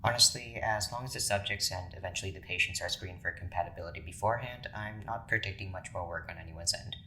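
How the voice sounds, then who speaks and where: A stern lead doctor in an audio drama, pitched low with a sharp, forceful edge. The delivery is formal, measured and deadpan, with noisy ambience behind it. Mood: dry, confident. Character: stern, dry, cautious doctor leading an experiment. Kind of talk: scripted audio drama acting.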